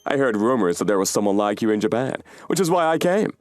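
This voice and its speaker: A man voices an earnest male pro basketball coach in a manga dub. He speaks as a persuasive mentor, with a lively, wide-ranging pitch. Music plays faintly underneath. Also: quick pace, dry recording, lightly acted.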